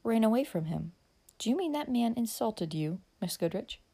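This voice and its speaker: A woman voicing a calm, protective young gentleman in an audiobook, speaking in a steady, matter-of-fact way. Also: fairly high pitch, moderate pace, breathy edge, pitched above the performer's own voice.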